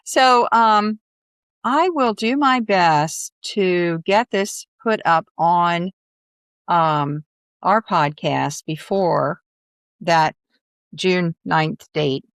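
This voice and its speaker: A woman's voice: lowish voice, very slow, clear, resonant tone, dry recording. A middle-aged woman hosting a podcast, chatting casually with her guest in an effusive, encouraging way. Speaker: podcast host. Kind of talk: casual interview chat.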